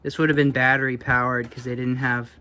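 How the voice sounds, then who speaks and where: A man's voice: medium pitch, little pitch movement, a little fast, bright, forceful tone. A man giving a casual, unscripted show-and-tell as a hobbyist presenter, thinking aloud in an offhand, hesitant way. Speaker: hobbyist presenter showing vintage electronics.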